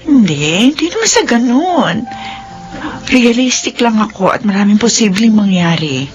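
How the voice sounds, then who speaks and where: A woman's voice: medium pitch, sing-song, moderate pace, music in the background, close to an everyday voice. An actress playing an older woman, a tender and doubtful lover, in an earnest, sentimental radio drama style. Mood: worried, hesitant. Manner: earnest, emotional, Tagalog mixed with English.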